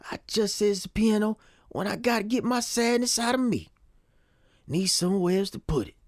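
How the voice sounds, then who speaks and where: An actor playing a weary, kindly piano player who speaks in a folksy, ungrammatical way in a period audio drama. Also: male voice, high voice, slightly rough, no room sound, studio quality, exaggerated voice. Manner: folksy, ungrammatical, plain-spoken.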